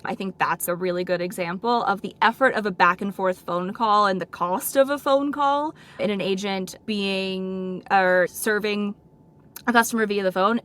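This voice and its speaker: A woman with a mid-pitched voice answers interview questions as a product director. She explains things informally and conversationally, often dropping "like" into her sentences. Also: a bit bright. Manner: informal, peppers speech with "like" and "right?".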